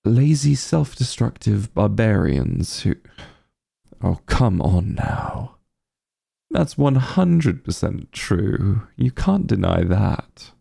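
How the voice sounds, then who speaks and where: A man playing a vain, disdainful evil sorcerer king in an ASMR roleplay, with a gravelly, breathy voice and a pompous, sardonic drawl. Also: lowish voice, mellow, full character voice.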